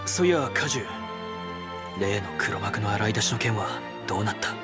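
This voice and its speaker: A man acting an easygoing but earnest young army commander in a Japanese video game, with casual, rough-edged speech. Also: on the low side, animated, normal speed, natural voice. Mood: troubled. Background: background music.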